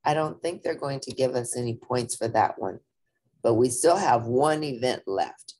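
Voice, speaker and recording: Woman being a loyal little girl in a kids book, earnest and encouraging, bit husky, expressive. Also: very deep voice, moderate pace, full character voice. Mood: reassuring. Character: earnest young girl, loyal to her pet.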